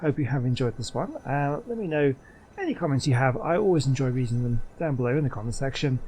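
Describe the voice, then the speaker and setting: A man giving casual, low-key gameplay commentary, musing aloud as a video host. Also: lively intonation, quick pace, mellow, slight background noise.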